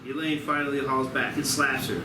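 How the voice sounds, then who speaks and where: A man's voice: medium pitch, little pitch movement, brisk. Man narrating a screenplay, echoey room. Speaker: stage-direction reader. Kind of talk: screenplay table-read narration. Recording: echoey noisy room, far from mic.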